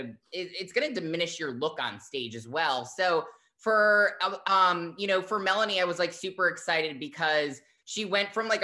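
A fitness coach talking in a casual interview, animated and chatty, with lots of fillers. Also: mid-range voice.

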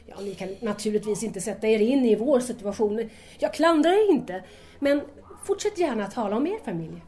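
Actress as mellow, earnest young woman. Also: on the high side, lively intonation, lightly acted. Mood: polite, surprised. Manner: polite, formal, earnest. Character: earnest, polite young woman, worried shopkeeper's daughter. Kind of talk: audio drama dialogue.

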